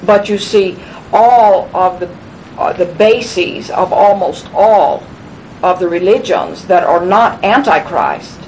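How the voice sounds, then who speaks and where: A woman's voice: expressive, takes it slowly, darkish tone, low-quality recording. A woman giving a rambling, emphatic conspiratorial talk, answering questions at a gathering, repeating phrases and restarting sentences. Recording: low rumble noise in background.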